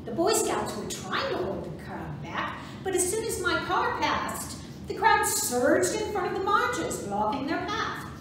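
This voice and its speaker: A proud suffragist organizer played by an amateur woman reader declaims in a high, lively voice at a measured pace. It is a stage reading captured from far off in a very echoey room. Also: background noise, heavily acted. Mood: dismayed. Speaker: volunteer reader in a museum reading play. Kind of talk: amateur reader's theatre monologue.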